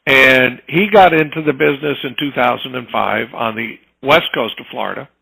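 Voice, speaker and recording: A man's voice: mid-range voice, normal speed, vocal fry, very noisy. A man in his fifties from New Jersey, a home inspector telling his story in an easygoing interview. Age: 50s. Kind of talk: casual interview talk.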